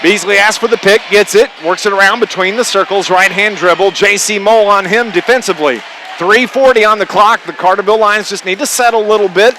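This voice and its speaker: A man's voice: sits high, a little fast. A man doing live radio play-by-play of a basketball game, in clipped running phrases with his voice often raised. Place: packed high school gymnasium. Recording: loud gym crowd noise, live radio broadcast. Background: crowd, bouncing basketballs.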